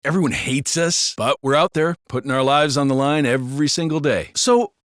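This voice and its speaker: A man's voice: on the high side, moderate pace, a bit bright, no echo, studio quality, lightly acted. A man voicing a gossiping, foul-mouthed American cop in a video game, talking in a colloquial, sardonic way. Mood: bitter. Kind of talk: casual scripted banter for a video game.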